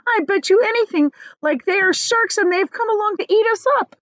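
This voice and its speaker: A woman's voice: very high voice, a little fast, a bit bright, dry recording, pitched above the performer's own voice. A woman voicing an anxious, insistent earthworm who expects doom in a children's storybook. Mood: alarmed insistence. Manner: panicky, insistent, pleading. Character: anxious, doom-predicting earthworm, fat and juicy.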